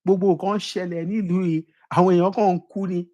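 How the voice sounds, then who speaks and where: A man with a Nigerian accent voicing a flustered young man who blurts out a rushed, agitated answer in an interview. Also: sits high, level tone, mellow, muffled recording, voice pushed up, close to an everyday voice.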